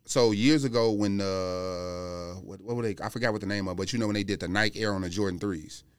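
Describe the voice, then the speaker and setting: Low, smooth man in casual conversation. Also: fairly quick, no room sound, studio quality. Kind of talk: casual podcast chat about sneakers.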